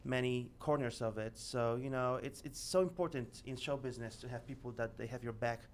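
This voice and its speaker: A man's voice: medium pitch, a little fast, a little hiss or hum. A man from Poland, a singer and actor guest answering eagerly in a casual radio interview. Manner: eager, affirming, warm about his team.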